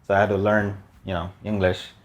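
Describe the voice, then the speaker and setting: A man from China talks in English, his second language, with a Chinese accent. His voice sits a little low, and his phrases come in short, simple pieces with frequent pauses and fillers. He sounds like a guest in a relaxed interview. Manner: plain, slightly broken English with frequent "uh" and "you know". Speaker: guest, land investor. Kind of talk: casual interview.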